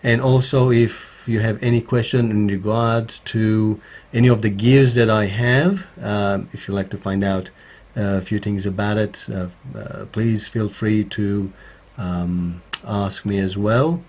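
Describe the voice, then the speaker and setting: Male stream host, slightly croaky, slow and halting, with many fillers, recorded at a home studio mic. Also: on the low side, steady tone, darkish tone. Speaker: home studio streamer answering viewer questions. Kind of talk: casual live stream chat.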